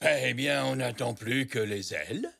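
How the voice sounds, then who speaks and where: Creaky, slow old grandpa zebra. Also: male voice, medium pitch, crowd noise. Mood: kindly, musing. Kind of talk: children's cartoon acting.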